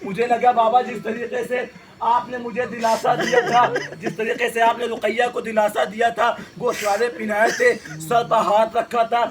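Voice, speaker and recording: A man voicing a grieving little girl who cries out to her slain father in a sobbing, wailing lament. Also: very high-pitched.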